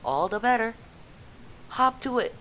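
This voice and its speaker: A man's voice: very high-pitched, expressive, a little slow, bright, forceful tone, voice pushed up, exaggerated voice, rough recording. A man voicing a fanatical, ruthless male soldier recruiting students and barking orders.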